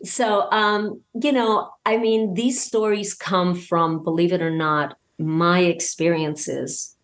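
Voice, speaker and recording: A woman's voice: fairly low pitch, steady tone, takes it slowly. A woman guest chatting casually in an interview about her own creative work, acting out bits of dialogue as she tells it. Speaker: guest, audio drama podcast creator.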